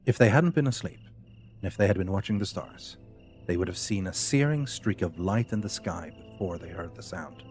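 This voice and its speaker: A man's voice: low voice, animated, normal speed, darkish tone. A man narrating a scripted audio drama as its storyteller. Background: music.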